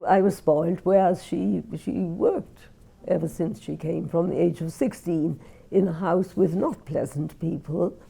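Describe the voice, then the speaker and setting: An older woman with a Welsh accent, recalling her family in an interview, often halting and repeating words as she speaks. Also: lowish voice, gravelly, mellow, husky. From Wales. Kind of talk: reminiscing interview.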